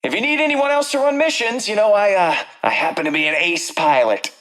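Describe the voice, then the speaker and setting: An actor voicing a cocky, eager space pilot in a video game, boastful and chatty. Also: male voice, high-pitched, animated, a little fast, fairly bright tone. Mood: eager, bragging.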